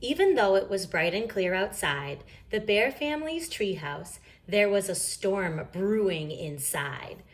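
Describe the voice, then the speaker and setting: A woman's voice: medium pitch, expressive, measured pace, few pauses. A woman reading a children's storybook aloud expressively, with dramatic emphasis. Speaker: story reader.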